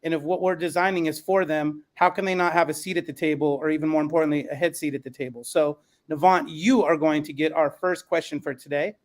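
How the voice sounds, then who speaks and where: A man's voice: on the high side, steady tone, fast talker, few pauses. A man moderating an educational podcast, speaking in an encouraging, affirming way as he puts questions to guests.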